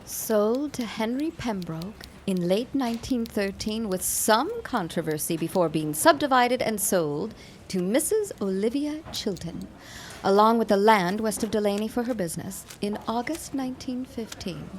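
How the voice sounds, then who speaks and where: An actress playing a brisk, sardonic 1910s company secretary with a dry, knowing delivery in an audio drama. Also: female voice, mid-range voice, expressive, a little hiss or hum, natural voice. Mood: matter-of-fact. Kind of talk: period audio drama acting.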